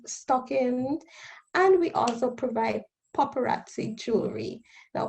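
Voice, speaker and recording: A woman in her thirties from Guyana with a Guyanese accent, a guest on a radio interview explaining her new business in an earnest, steady way. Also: high-pitched, gravelly, low-quality recording. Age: 30s. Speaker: guest entrepreneur.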